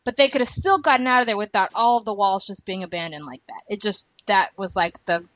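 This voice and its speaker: A woman's voice: mid-range voice, fast talker, fairly bright tone, no echo, highs cut off. A woman hosting a casual podcast, opinionated and emphatic, given to exasperated rhetorical questions. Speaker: podcast host.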